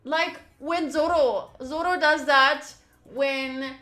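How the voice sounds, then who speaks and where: Bright-voiced woman reading a game aloud. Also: sits high, fairly even intonation, slow, reverberant, faint music behind. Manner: reacts aloud, shifting between reading and chatty asides. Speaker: streamer playing and reading aloud a visual novel. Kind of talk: playthrough commentary, reading on-screen text aloud.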